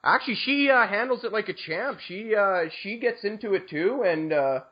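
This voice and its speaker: A young man hosting a podcast, asking joking questions in a lively, casual way. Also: high-pitched, normal speed. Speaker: podcast host. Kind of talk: casual chat. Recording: dull lo-fi sound.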